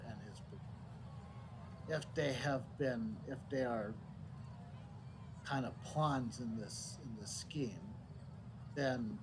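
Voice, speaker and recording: Slow, deliberative male game streamer. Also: mid-range voice, noisy background. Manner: halting, deliberative. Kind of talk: live playthrough commentary, reasoning aloud. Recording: loud game music behind the voice.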